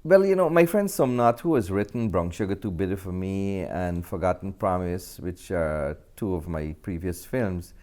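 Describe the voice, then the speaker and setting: A man with a deep voice and a Guyanese accent talks as an interview guest. He is a middle-aged actor and director, answering in a conversational, storytelling way. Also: normal speed, hardly any pauses, a little mellow.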